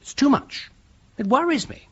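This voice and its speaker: An actor playing a pompous, controlling middle-aged son with an upper-class English accent in a British radio play. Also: male voice, extremely high pitch, animated, normal speed. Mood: exasperated. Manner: pompous, clipped. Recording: dull, muffled radio drama recording.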